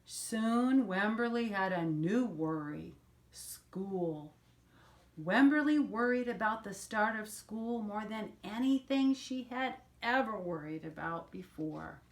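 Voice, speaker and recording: An older woman reading a children's picture book aloud as a storyteller, pausing to explain things to young listeners. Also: medium pitch, animated.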